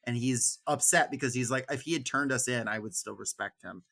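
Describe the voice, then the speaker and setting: Guy podcast cohost talking books, bright punchy voice, mid pitch, informal. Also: brisk, creaky. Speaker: podcast co-host. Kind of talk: casual book discussion.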